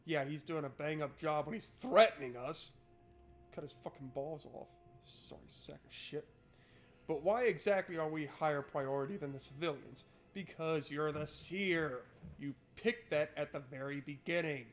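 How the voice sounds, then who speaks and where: A man's voice: fairly high pitch, moderate pace. A man streaming a game, switching between reading narration aloud and casual, irreverent, sweary joking commentary.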